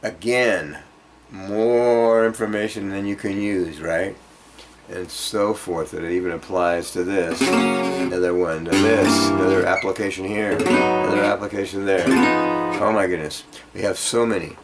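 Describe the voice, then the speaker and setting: A man's voice: low-pitched, a little slow, low-quality recording. A man giving an informal guitar lesson, rambling and thinking aloud in a self-deprecating way as he explains. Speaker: guitar teacher. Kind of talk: informal music workshop lesson. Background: acoustic guitar being played.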